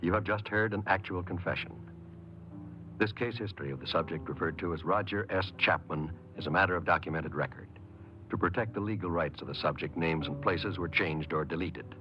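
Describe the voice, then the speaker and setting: A man's voice: low-pitched, fairly quick, noisy background. A man announcing in a formal, scripted 1950s radio style. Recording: muffled 1950s radio broadcast recording. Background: music.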